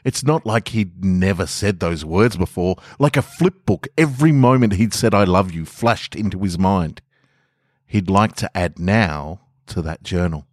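A man's voice: sits low, expressive, measured pace, a little mellow, no room sound, studio quality. A man hosting a podcast, talking casually and reading short stories aloud as narrator. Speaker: podcast host and author reading own stories.

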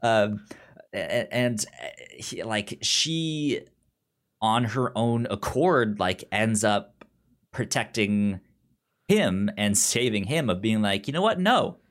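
A man's voice: on the low side, expressive, slow. A man casually discussing a film as a podcast co-host, often stammering and repeating words, and acting out characters' lines. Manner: animatedly acts out characters' lines.

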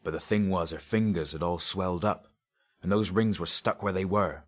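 A man's voice: low voice, normal speed, voice pushed up. A man voicing a haunted, greedy grave robber in an audiobook story, with a confiding, colloquial way of telling things. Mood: greedy relish.

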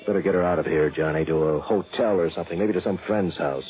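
A seasoned police sergeant acted by a man with a lowish voice, gruffly teasing and used to giving orders. The delivery is old-time radio acting, heard through a thin, telephone-like recording. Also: fast talker, close to an everyday voice. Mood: brisk, businesslike. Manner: wry, bossy, colloquial. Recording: tinny narrow-band old radio recording.

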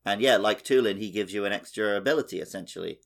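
A man's voice: lowish voice, fast talker, creaky, a bit bright. A man co-hosting a podcast, chatting casually and conversationally about a video game. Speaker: podcast host. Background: music.

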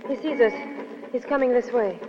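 A woman's voice: a little high, moderate pace, close to an everyday voice. An actress playing a subdued, lovelorn woman in a restrained, terse 1930s radio drama style. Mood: sad, restrained. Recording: muffled 1930s radio recording with music.

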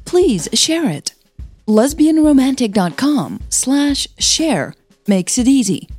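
A woman podcast host reading scripted fiction as narrator, in a measured storytelling manner. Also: high-pitched, sing-song, a little mellow, faint music behind.

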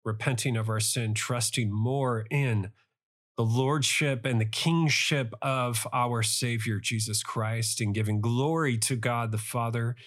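A man preaching a Bible study podcast, his voice raspy from a cold, speaking in earnest, devout, measured phrases. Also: medium pitch, fairly even intonation, a bit bright. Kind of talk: Bible study sermon with prayer.

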